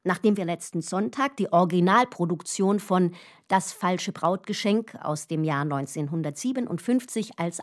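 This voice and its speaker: An actress playing a composed radio presenter, reading a formal, articulate scripted introduction. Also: female voice, lowish voice. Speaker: radio announcer.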